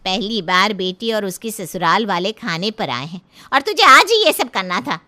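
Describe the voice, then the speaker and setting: A woman's voice: medium pitch, sing-song, normal speed, bright, forceful tone. A woman voicing a middle-aged mother in a cartoon story, a flustered and scolding hostess. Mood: scolding, worried.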